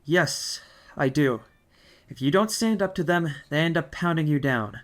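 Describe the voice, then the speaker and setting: An actor playing an earnest teenage boy who stubbornly wants to prove he is brave, quiet and defensive, in an audio drama. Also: medium pitch, expressive, a little slow, lightly acted. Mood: resolute.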